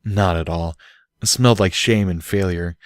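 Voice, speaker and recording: A man's voice: sits low, moderate pace, clear, resonant tone, no room sound, clean studio sound. A man reading a story aloud as scripted audiobook narration. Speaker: audiobook reader.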